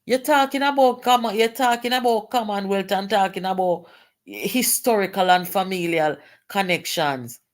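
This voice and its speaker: Jamaican woman, political vlogger, mid-pitched, code-switching into Patois, exclamatory and indignant. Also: normal speed. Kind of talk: reading aloud and commenting on a political letter.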